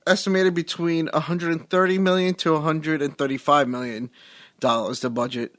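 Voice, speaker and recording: A man's voice: a little high, fairly even intonation, moderate pace, fairly bright tone, no echo, studio quality. A man co-hosting a casual movie podcast, reading out budget figures plainly and steadily. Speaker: podcast co-host.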